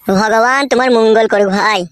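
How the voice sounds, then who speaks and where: A man's voice: high-pitched, steady tone, a little fast, a bit bright, slight background noise. A man voicing a downtrodden, naive young village husband in a comic cartoon, plaintive and deferential. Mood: humble, grateful. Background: music.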